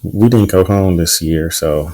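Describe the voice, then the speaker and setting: A man's voice: sits low, a little slow, dark tone. A man co-hosting a podcast, chatting casually and teasing his co-host in playful banter. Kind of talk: casual chat.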